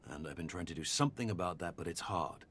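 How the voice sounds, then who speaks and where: A man playing a measured, earnest researcher who speaks articulately and deliberately in a conversational audio drama. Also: low voice, expressive, fairly bright tone, slight background noise. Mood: measured concern. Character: measured, earnest male researcher debating philosophy.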